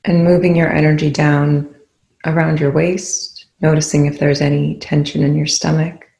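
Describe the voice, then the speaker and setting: A meditation instructor speaking in a soothing, unhurried way with long pauses, guiding a body awareness practice. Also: mid-range voice, level tone, gravelly, a little mellow. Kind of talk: guided meditation. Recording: echoey room.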